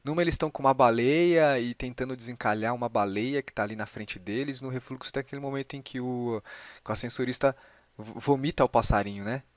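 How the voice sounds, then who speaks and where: A man with a Brazilian accent and a medium-pitched voice speaks as a podcast interviewer in a very dry recording. His delivery is casual and thoughtful, a little tentative as he shapes each observation. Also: fast talker, no room sound. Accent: Brazilian Portuguese.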